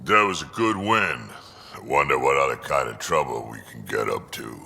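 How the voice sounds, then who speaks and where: An actor playing a gravelly, wry old alien warrior who loves a fight, in a video game. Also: male voice, very deep voice, normal speed, bright, forceful tone, heavily acted. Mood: wry, content. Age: older. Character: battle-hungry old male alien warrior, wry and tough.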